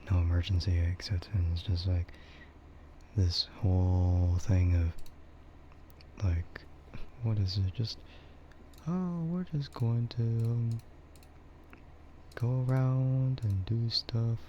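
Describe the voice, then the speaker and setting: A man streaming in a casual, rambling ASMR chat, speaking softly and hesitantly in a meandering way. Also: lowish voice, slow, pauses often. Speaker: VTuber streamer. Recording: dull lo-fi microphone with faint noise.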